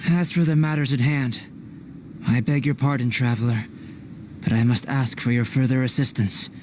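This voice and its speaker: An actor playing a calm, scholarly young alchemist in a video game, speaking in a measured, formal, detached way. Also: male voice, mid-range voice, close to an everyday voice. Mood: calm, analytical. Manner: measured, formal, analytical delivery. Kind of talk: scripted video game cutscene acting. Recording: clear noise behind the voice.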